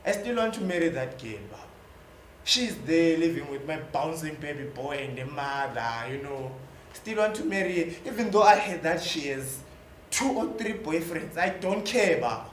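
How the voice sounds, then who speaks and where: Man acting a fervent young Zimbabwean hustler, wildly swinging pitch. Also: lively intonation, normal speed, natural voice. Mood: wistful. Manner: emphatic, repetitive, punctuated with slang. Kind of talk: stage play acting. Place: indoor theatre stage. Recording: echoey stage hall, faint noise.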